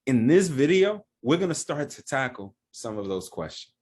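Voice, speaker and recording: A man's voice: mid-range voice, sing-song, moderate pace. A man, a financial advisor and founder of his firm, explaining retirement planning step by step in plain words. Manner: plain-spoken, walks through examples step by step.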